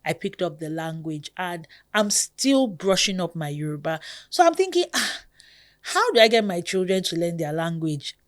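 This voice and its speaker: A woman in her thirties from Nigeria with a Nigerian accent, hosting a podcast in a chatty, expressive way. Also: on the low side, normal speed. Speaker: podcast host. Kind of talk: casual podcast monologue.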